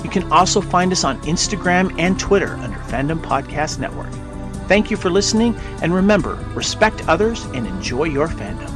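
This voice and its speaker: A man's voice: fairly high pitch, very animated, normal speed. A man reading a scripted network promo in an upbeat announcer manner. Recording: music bed under the voice.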